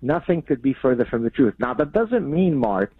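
A man from Lebanon whose first language is Arabic, a guest arguing his points in an emphatic, fast-flowing way. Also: medium pitch, sing-song, husky, no echo. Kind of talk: remote interview, argumentative commentary. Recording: tinny phone line.

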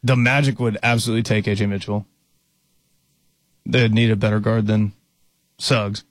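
A man co-hosting a casual sports talk show, reading viewer comments aloud in a relaxed, easygoing way. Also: on the low side, normal speed, dry recording, low-quality recording. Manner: relaxed, easygoing, reads chat questions aloud.

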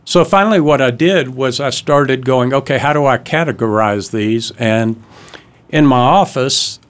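A man's voice: medium pitch, measured pace. An older man, an author, gives a radio interview in a studio, telling stories in a chatty way with hesitations. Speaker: author and guest.

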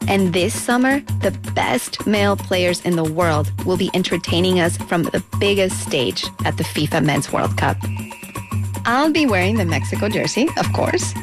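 A woman from the United States narrating a scripted personal essay as reporter, in a reflective, intimate storytelling manner. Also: fairly low pitch.

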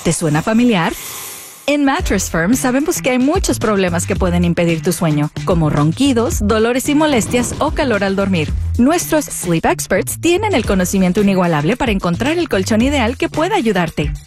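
Animated woman reading a radio commercial. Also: mid-range voice, moderate pace. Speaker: advertisement announcer. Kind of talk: scripted commercial narration. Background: light music.

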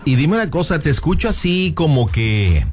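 A man's voice: on the high side, big pitch swings, normal speed, heavy background noise. A Mexican man hosting a radio show, chatting casually in a slangy, familiar way. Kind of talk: casual radio chat with a caller. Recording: radio show with music behind.

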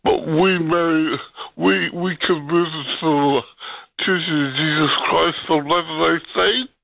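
Slow, creaky male caller on the phone. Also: fairly high pitch, steady tone, dry recording. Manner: halting, hesitant. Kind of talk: casual chat. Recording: dull band-limited phone call.

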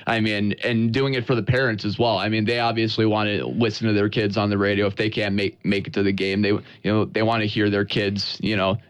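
An American man with a fairly low, clear, resonant voice speaks as a guest in a radio interview. He is a sports broadcaster who talks earnestly and conversationally, often doubling back on words. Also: little pitch movement, no room sound. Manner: earnest, halting with repeated words.

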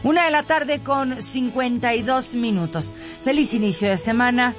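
A woman with a Mexican Spanish accent presenting music radio in a conversational, reflective and expressive manner. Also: fairly high pitch, moderate pace. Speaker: radio host. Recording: dull lo-fi radio sound, music behind. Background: background music.

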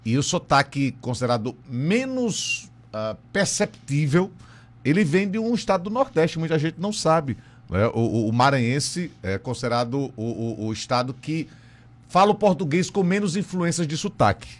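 A man's voice: fairly high pitch, sing-song, normal speed. A man from Northeastern Brazil with a regional accent, hosting a casual radio interview in an effusive, conversational way full of tag questions. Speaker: radio host.

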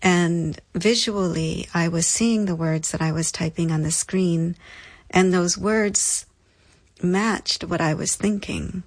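A woman telling a personal story as an interview guest, reflective and deliberate, with emphatic, repeated phrasing. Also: on the low side, dry recording.

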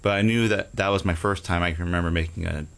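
Male guest, very low pitch, halting conversational testimony. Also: steady tone, gravelly, fairly bright tone. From Houston, Texas. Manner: halting, with fillers and restarts. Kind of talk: casual testimony interview.

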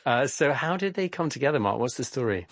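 A man's voice: medium pitch, animated, quick pace, dry recording. A man co-hosting a podcast, asking the guest curious, guiding questions. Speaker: podcast co-host.

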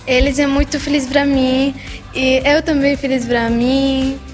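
Teenage girl in halting learner's Portuguese. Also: high-pitched, flat delivery, in a large hall, soft background music. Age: teens. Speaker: interviewed student. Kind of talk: news interview.

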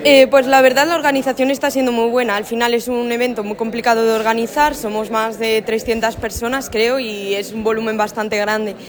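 Young woman, student from Spain, measured, slightly high voice. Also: little pitch movement. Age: young adult. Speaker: university student.

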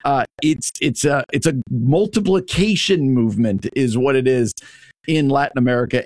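A man's voice: fairly high pitch, darkish tone, no room sound. A man hosting a radio show, talking conversationally as he interviews a guest and appeals for donations. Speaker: radio host.

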